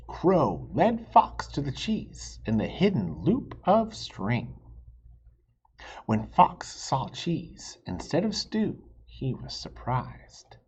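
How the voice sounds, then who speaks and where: A man reading a children's storybook aloud like a teacher, animated and stopping to ask the children questions. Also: mid-range voice, husky, highs cut off.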